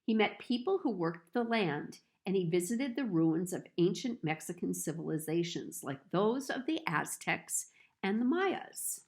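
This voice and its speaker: A woman reading a children's picture book aloud in a storytelling style, with deliberate, expressive phrasing. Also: fairly low pitch.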